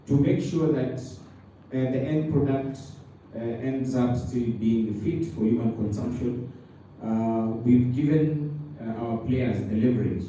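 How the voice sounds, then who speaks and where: Kenyan man speaking in a roomy hall. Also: mid-range voice. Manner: halting, many fillers and restarts. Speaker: dairy regulator on a panel. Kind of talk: panel talk. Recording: roomy hall from a distance, loud noise.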